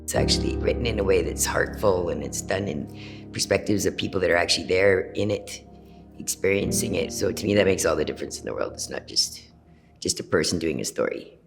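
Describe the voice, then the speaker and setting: Low, gravelly man speaking earnestly. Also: brisk, over music. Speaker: peer journalist. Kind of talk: casual, reflective interview talk.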